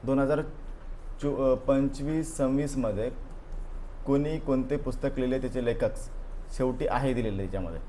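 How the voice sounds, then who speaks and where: A man's voice: mid-range voice, moderate pace, mellow. Man, exam coaching teacher, live class, repetitive fillers, coaxing students, faint room noise. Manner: repeats "बरोबर आहे" and "ओके" as fillers, prods students directly. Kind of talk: live class going over an exam paper.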